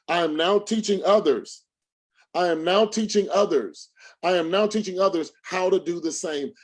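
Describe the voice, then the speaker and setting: A man's voice: fairly high pitch, a little mellow. A man giving a motivational sales talk on a livestream as a coach, speaking emphatically with preacher-like repetition and rhetorical questions.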